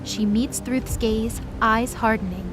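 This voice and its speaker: High-pitched woman narrating a story. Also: steady tone, takes it slowly, background noise. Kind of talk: scripted present-tense story narration.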